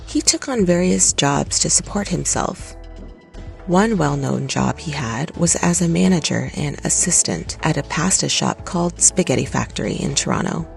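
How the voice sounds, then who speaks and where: A narrator reading a scripted documentary in a steady, even way. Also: medium pitch, creaky. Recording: light music underneath.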